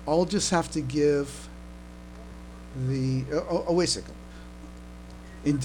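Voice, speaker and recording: A man's voice: on the high side, rough recording. A man chatting casually as a podcast co-host, often stumbling and repeating his words as he goes. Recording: steady background noise and hum.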